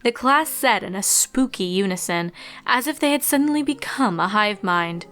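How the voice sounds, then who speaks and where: A woman narrates a story in a mid-pitched voice. Her delivery is scripted and expressive, in the manner of a fiction reading, with music playing behind her. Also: moderate pace. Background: background music.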